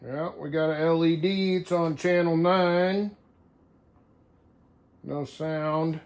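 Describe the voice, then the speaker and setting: A man's voice: fairly high pitch, fairly even intonation, slow pace. A man talking casually to viewers in a folksy, unscripted way, thinking aloud as he tinkers through a hands-on demonstration. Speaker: hobbyist CB radio vlogger.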